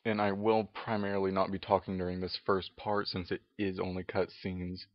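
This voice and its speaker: Low-voiced man in a casual let's play. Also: level tone, normal speed, no room sound. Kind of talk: casual game walkthrough commentary. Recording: muffled lo-fi mic, music behind. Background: game music.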